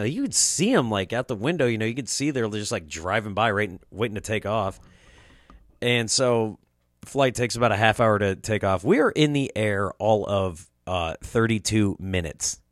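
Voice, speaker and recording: A man's voice: fairly low pitch, dry recording, studio quality. A young man hosting a podcast, telling a rambling, profane story in a casual monologue, his throat sore from allergies. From Quad Cities area, US Midwest. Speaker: podcast host.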